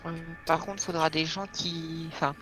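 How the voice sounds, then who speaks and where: A man's voice: on the high side, level tone, moderate pace, sharp tone, soft background music. A man playing a tabletop role-playing game, planning moves aloud with many fillers. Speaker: player. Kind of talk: casual chat planning a game session.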